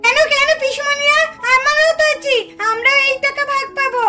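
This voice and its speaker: A woman's voice: very high voice, steady tone, moderate pace, pushed and bright, full character voice. A woman voicing an eager, whiny little child in a puppet show, pleading. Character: eager, whining little child wanting a share. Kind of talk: puppet-show cartoon dialogue. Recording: music behind the voice.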